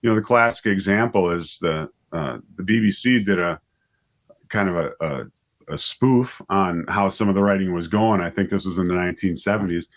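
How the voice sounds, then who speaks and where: A man being interviewed as a writer and director, explaining things casually and haltingly, often rephrasing himself as he goes. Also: deep voice. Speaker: interview guest, writer and director of audio dramas.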